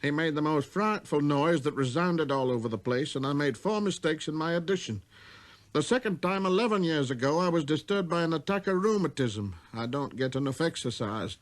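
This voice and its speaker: Man playing a fussy, animated storybook businessman. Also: on the high side, brisk, voice pushed up, natural voice. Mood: irritated, fussy. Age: middle-aged. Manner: muttering, irritable, hesitant when counting. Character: busy, fussy, self-important older businessman.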